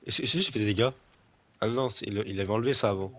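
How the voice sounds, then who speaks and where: A man's voice: fairly low pitch, very fast. Stuffy-nosed young man rambling over gameplay. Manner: rambling, many fillers and restarts, teasing. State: stuffy nose from a cold. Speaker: gaming video creator. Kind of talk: casual gameplay chat with a friend.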